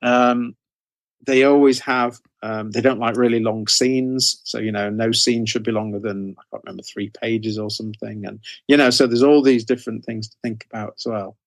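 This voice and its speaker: A man's voice: medium pitch, fairly even intonation, normal speed, no echo. A man giving casual interview answers as a guest author, hesitant and self-correcting, often repeating his phrases.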